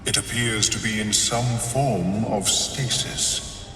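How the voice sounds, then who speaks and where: A man playing a calm, scholarly mind flayer in a video game, formal and politely clinical, with a detached, measured manner. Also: on the low side. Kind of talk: video game dialogue acting. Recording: echoing hall with long reverb, faint music.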